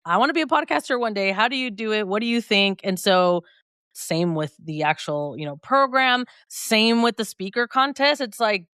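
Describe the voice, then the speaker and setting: A woman guest talks through her journey in a relaxed, reflective way. She is upbeat and laughs lightly as she speaks. Her voice is smooth and clear, recorded dry and close. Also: mid-range voice, normal speed, no room sound. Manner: reflective and upbeat, laughs often mid-sentence. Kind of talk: conversational interview.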